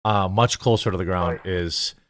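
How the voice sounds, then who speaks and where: A man's voice: low-pitched, moderate pace, fairly bright tone. A man giving casual commentary on news footage as a show host, conversational and a little hesitant as he thinks aloud.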